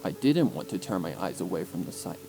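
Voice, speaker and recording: A man's voice: deep voice, very animated, a little fast, creaky. A man reading scripted story narration with an intense, theatrical delivery, as an audiobook narrator. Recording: music under the voice.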